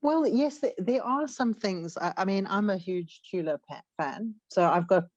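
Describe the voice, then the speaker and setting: A woman's voice: mid-range voice, animated, moderate pace, a little mellow, dry recording, lo-fi sound. A woman from Africa, co-hosting a podcast in a chatty, joking way. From South Africa. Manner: chatty, joking, full of fillers. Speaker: podcast co-host. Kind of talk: casual chat.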